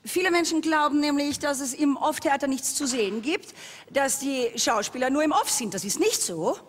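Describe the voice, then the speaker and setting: A woman's voice: high voice, animated, moderate pace. A woman co-hosting an award show, delivering scripted banter from the stage. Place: large hall on stage. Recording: reverberant hall, audience, faint noise.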